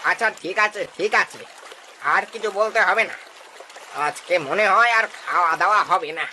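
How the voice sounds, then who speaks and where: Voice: medium pitch, moderate pace, background noise, natural voice. A performer voicing a grumpy, bossy jungle predator chief in a cartoon, scolding and impatient. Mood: grudging, weary. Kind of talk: cartoon story dialogue.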